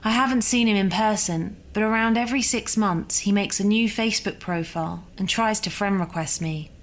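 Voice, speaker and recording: A woman reading a first-person scary story as narrator. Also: mid-range voice. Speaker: story reader.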